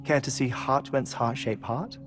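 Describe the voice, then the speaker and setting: An actor playing an eccentric, grief-obsessed middle-aged scientist in a video game, speaking in a courteous, articulate, measured way. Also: male voice, medium pitch, animated, music in the background, close to an everyday voice. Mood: wistful, intense. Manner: genteel, articulate, measured storytelling. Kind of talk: video game cutscene acting.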